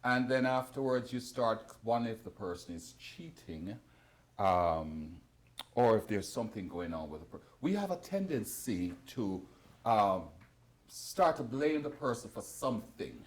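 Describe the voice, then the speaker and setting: A man hosts a talk show with a low voice that rises and falls with lively, animated intonation. He leads the conversation, puts questions to his guests and cuts in to push back on their points. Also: measured pace.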